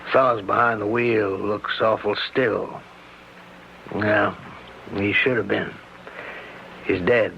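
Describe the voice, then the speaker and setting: Low, gravelly, folksy small-town sheriff. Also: male voice, steady tone. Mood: genial. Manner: plain-spoken, rural phrasing, deliberate. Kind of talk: radio mystery drama acting. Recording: dull lo-fi radio recording with hiss.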